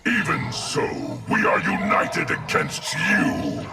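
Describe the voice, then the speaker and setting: Slow, gravelly undead collective of souls. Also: male voice, on the high side, huge pitch swings, over loud music, exaggerated voice. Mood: defiant. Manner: formal, declamatory, speaks as we. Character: undead construct of many souls, solemn and vengeful. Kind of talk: fighting game character dialogue.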